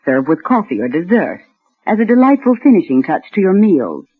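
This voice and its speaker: A man's voice: sits high, big pitch swings, a little fast, slightly rough, pitched above the performer's own voice, exaggerated voice. A man voicing a gracious society hostess's recommendation in a 1940s radio advertisement, on a tinny old recording.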